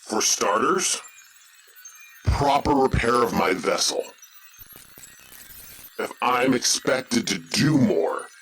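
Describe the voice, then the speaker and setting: An actor playing a proud, stern mercenary count commanding a warship in an audio drama, authoritative and menacing. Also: male voice, medium pitch, animated, takes it slowly, long pauses, a bit bright, faint music behind. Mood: stern, demanding. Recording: faintly noisy recording.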